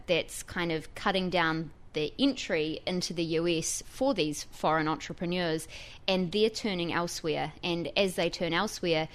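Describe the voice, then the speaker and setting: A woman with a New Zealand accent, a tech reporter explaining her story as a guest in a radio interview, fluent and lively. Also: fairly low pitch.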